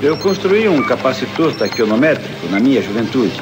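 A man's voice: mid-range voice, sing-song, brisk, loud music behind the voice, close to an everyday voice. A man playing a kindly, earnest scientist who explains things, in a Brazilian cartoon dub. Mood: thoughtful. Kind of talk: dubbed cartoon acting.

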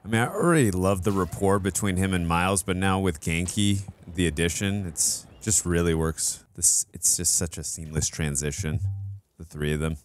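A man's voice: low-pitched, a little hiss or hum. A man in his thirties reacting enthusiastically to a video game on a headset mic in a home studio. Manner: enthusiastic, quick. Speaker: reaction show host. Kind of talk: casual reaction chat. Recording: game music playing behind.

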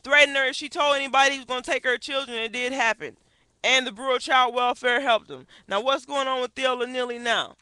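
High, bright-voiced indignant woman. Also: fairly even intonation, quick pace, dry recording. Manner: indignant, emphatic, rhetorical questions. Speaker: activist vlogger. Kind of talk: impassioned webcam commentary. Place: small wood-paneled room indoors. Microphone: headset on webcam.

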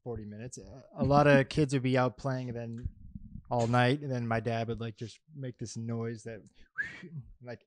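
A young man co-hosting a casual chat, asking questions in a relaxed, loose way into a handheld mic. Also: mid-range voice, moderate pace, no room sound. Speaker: co-host.

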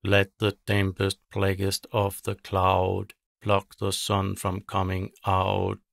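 A man acts out a grumpy witch from a children's storybook. She is spiteful and scheming, speaking with theatrical menace and some gravel in a close, dry recording. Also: sits low, completely flat delivery, a bit bright, dry recording, full character voice. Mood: menacing chanting. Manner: theatrical, menacing, drawn-out incantations.

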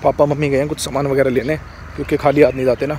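A young man in his twenties, talking casually and excitedly to his phone camera on a road trip, from a car and by a lakeside. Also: mid-range voice, rapid-fire. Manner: chatty, excitable, keeps saying "bhai". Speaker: vlogger. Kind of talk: casual travel vlog. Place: inside a car and outdoors by a dam. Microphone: phone camera at arm's length. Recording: phone recording with clear road and wind noise.

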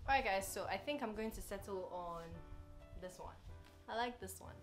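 Woman, 20s, casual vlogger, mid-pitched voice, chatty and playful, talks straight to viewers. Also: normal speed, faint music behind. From Ghana. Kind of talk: casual vlog chat to camera. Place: bedroom, indoors.

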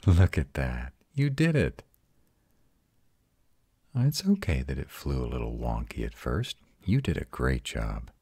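Man acting very deep, husky vampire lover. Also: huge pitch swings, dry recording, clean studio sound, full character voice. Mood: soothing, affectionate. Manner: soft, intimate, slow reassurance. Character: ancient arrogant male vampire tenderly comforting his mate. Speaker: voice actor. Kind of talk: intimate roleplay audio drama.

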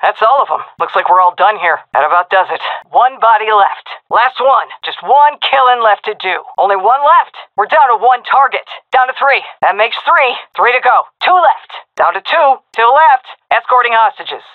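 An actress playing a tough, wisecracking female Navy SEAL commander in a video game, with brisk, cocky military callouts over a radio filter. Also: on the high side, animated, dry recording, narrow, tinny audio. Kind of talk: short tactical shooter voice lines.

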